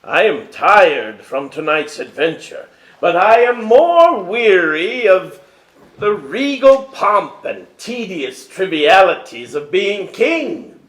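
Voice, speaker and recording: A man's voice: sits high, sing-song, slow pace, a bit bright, heavily acted. An actor playing a kindly, weary king in a stage musical reading, speaking formally and earnestly. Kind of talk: theatrical stage acting. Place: indoor hall, live staged reading. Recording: roomy live stage pickup.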